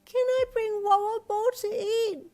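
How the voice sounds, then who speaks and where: A woman voicing a stubborn, sleepy-eyed little girl with a high, whining, slow voice. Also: fairly even intonation, dark tone. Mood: demanding. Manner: high childish whine, slow insistent words.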